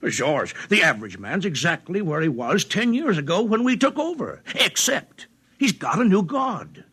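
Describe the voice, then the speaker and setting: Cynical, bossy male schemer, 1950s drama: husky, sharp, theatrically sardonic. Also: high-pitched, very animated, normal speed, heavily acted. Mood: cynical, impatient. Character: cynical, mocking, bossy male boss of a racket. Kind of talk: 1950s radio drama acting.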